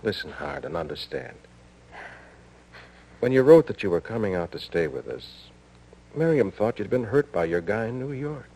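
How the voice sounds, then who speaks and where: Dark, gravelly, earnest husband. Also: male voice, on the low side, big pitch swings, normal speed, pauses often. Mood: anguished, urgent. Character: earnest, conflicted married man pleading with another woman. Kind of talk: 1950s radio soap opera acting. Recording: noisy, humming old radio aircheck.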